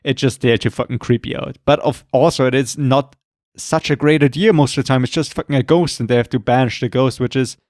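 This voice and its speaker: A man co-hosting a podcast, talking casually about horror films, rambling and swearing often, with a voice going hoarse. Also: mid-range voice, fairly even intonation, fairly quick, a little mellow, dry recording, studio quality. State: losing his voice, throat clearing. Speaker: podcast co-host. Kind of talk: casual film chat.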